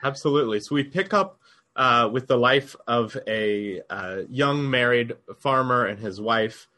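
A man's voice: mid-range voice, animated, a little slow, pushed and bright. A man co-hosting a podcast, talking in an earnest, enthusiastic way. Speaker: podcast co-host. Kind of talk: casual podcast conversation.

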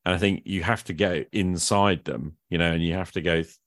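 A man's voice: low voice, steady tone, a little fast, fairly bright tone, dry recording, clean studio sound. A man hosting a casual, reflective conversation, often ending his points with little questions to the listener. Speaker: podcast host. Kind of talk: casual conversation about roleplaying games.

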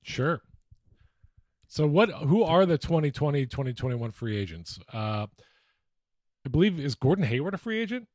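A man's voice: a little high, big pitch swings, normal speed, pauses often, darkish tone, no echo, studio quality. A man co-hosting a podcast in casual sports chat, talking informally with some slang. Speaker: podcast co-host.

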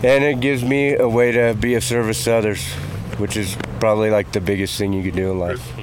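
A man, a race pacer who has just run a hundred miles, giving a casual interview outdoors; he speaks in a laid-back, halting way with many fillers. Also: lowish voice, little pitch movement, background noise. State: weary after running a hundred miles. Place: outdoors at an ultramarathon aid station. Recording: outdoor recording with a crowd behind.

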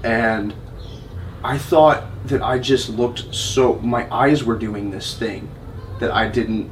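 A young man guesting on a podcast, talking in a relaxed, candid way with easy laughs. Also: mid-range voice, slow. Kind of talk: casual chat. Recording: distant mic with faint ambient noise.

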